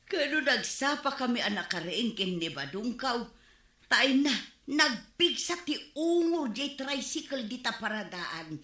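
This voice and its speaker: An actress playing a neighbor's wife in a comedy drama who fusses about chores and the noise. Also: female voice, a little high, very animated, unhurried, bright, forceful tone, exaggerated voice. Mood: fussing. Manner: fussing. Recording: echoey room recording.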